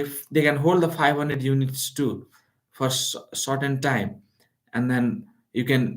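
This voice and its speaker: A man with a delivery giving a live how-to lesson, talking loosely with many fillers and restarts. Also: medium pitch. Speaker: online business instructor. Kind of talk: live-streamed how-to talk. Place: lives in Calgary.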